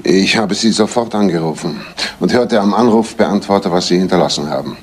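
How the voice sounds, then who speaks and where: Casting: restrained, formal husband in radio crime drama, deep husky voice, earnest delivery. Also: male voice, a little fast. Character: earnest married man confessing to visiting a prostitute. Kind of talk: German crime radio play acting.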